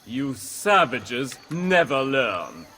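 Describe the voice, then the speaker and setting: An actor playing a haughty aristocratic baron, a video game villain, pompous and disdainful. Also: male voice, medium pitch, big pitch swings, drawn-out words, heavy background noise. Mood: contemptuous. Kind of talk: video game cutscene acting.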